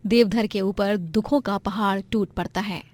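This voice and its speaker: A woman's voice: medium pitch, steady tone, a little slow, a little mellow, dry recording. A woman narrating a scripted moral story in a dramatic storytelling style.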